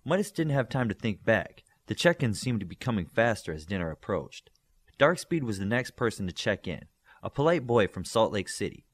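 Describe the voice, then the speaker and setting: A man's voice: on the low side, big pitch swings, normal speed. A man narrating a scripted fiction audiobook, reading a story aloud.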